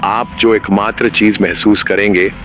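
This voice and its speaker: A man playing a grave, menacing emergency announcer in a scripted horror broadcast, speaking in a measured way. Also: on the low side, fairly even intonation, over music, natural voice. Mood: grave, ominous. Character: ominous male emergency broadcast announcer warning of uncanny teachers. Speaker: narrator.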